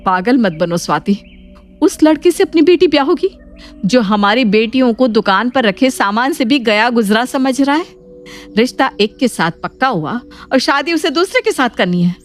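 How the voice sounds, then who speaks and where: Cartoon family-drama mother acted by a woman: firm, persuasive, higher-pitched, over soft music. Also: lively intonation, normal speed, close to an everyday voice. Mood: indignant. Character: assertive middle-aged mother in a family drama.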